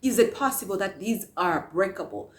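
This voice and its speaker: A woman's voice: mid-range voice, lively intonation, moderate pace. A woman from Malawi giving a passionate motivational talk to camera, asking many rhetorical questions. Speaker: vlogger. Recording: echoey room.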